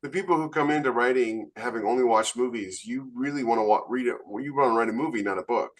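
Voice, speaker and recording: A man chats as a podcast co-host in a voice of middling pitch. He speaks informally, in an anecdotal style, slipping into quoted dialogue as he goes. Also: quick pace. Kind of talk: casual anecdotal chat.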